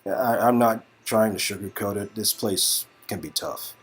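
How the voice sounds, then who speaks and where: Man playing a wry, husky young screenwriter. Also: fairly low pitch, fairly even intonation, a little slow. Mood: sincere, encouraging. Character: wry, jaded young male screenwriter, teasing but encouraging. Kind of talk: dramatic reading of visual novel game dialogue.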